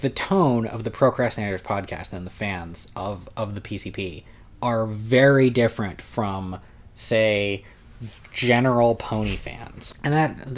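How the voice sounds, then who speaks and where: A young man with a loud voice telling a long, rambling convention story at home, often restarting his sentences. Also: lowish voice. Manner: rambling, self-interrupting, chatty. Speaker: vlogger recounting a convention. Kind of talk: casual storytelling vlog.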